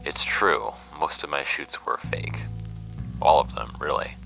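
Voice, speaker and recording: A man's voice: a bass voice, measured pace, vocal fry, music in the background, heavily acted, rough recording. An American man voicing the dry, world-weary memoir of a jaded woman who hunted monsters on television, in an audio drama. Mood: dry, confessional. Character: jaded woman TV bigfoot hunter reading her confessional memoir. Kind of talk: first-person memoir narration.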